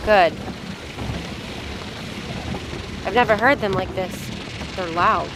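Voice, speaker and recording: A woman's voice: medium pitch, normal speed, close to an everyday voice. A woman playing a serious, guarded doctor and disaster specialist in an audio drama. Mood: wary, tense. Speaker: doctor on an emergency response team. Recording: loud engine and battlefield noise effects.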